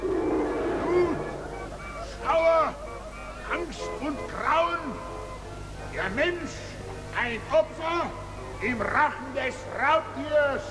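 An actor playing a fairground barker who cries up a lurid beast show with declamatory, sensational calls in a radio play. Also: male voice, very high voice, animated, very slow, pauses often, music in the background, exaggerated voice, low-quality recording. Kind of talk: German radio play acting.

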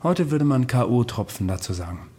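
An actor playing a weary immortal man who recounts his past in a radio drama, dry and deadpan. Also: mid-range voice, a little fast, husky. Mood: dry, detached. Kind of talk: German radio drama dialogue.

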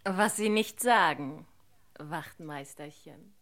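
A woman's voice: sits low, takes it slowly, fairly bright tone. An actress playing a coy, teasing young lady in a vintage radio crime comedy.

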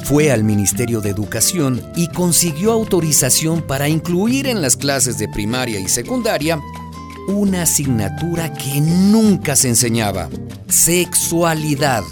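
Animated radio drama narrator. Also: male voice, fairly high pitch, unhurried, over music. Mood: solemn. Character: male storyteller narrating neighbourhood troubles.

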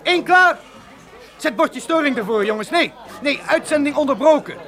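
An actor playing an excitable, opportunistic male television director in a radio play, frantic and prone to stammering. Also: very high voice, normal speed, crowd noise. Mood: frantic shouting. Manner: frantic, stammering, self-questioning. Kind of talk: Dutch radio play acting.

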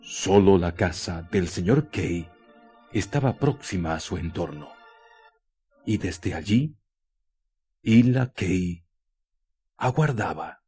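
Male narrator, radio play storytelling, very slow and deliberate, mellow dark tone, faint music behind. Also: sits low, animated, breathy edge. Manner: deliberate, dramatic phrasing. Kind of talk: scripted radio drama narration.

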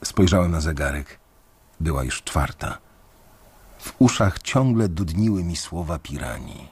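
An actor narrating as a young male bank analyst in a radio drama, measured and reflective. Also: deep voice, lively intonation, a little mellow, husky, exaggerated voice.

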